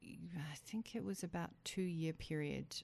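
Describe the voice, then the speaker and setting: A woman's voice: low-pitched, unhurried, gravelly, a little mellow. A middle-aged woman with a New Zealand accent, speaking conversationally and reflectively as an interview guest, explaining things.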